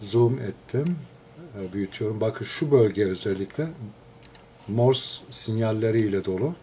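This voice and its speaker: A man, a radio hobbyist, explains a receiver in a casual, unscripted walkthrough, pausing between short phrases. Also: fairly low pitch, dark tone, a little hiss or hum. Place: indoors, filming a computer screen.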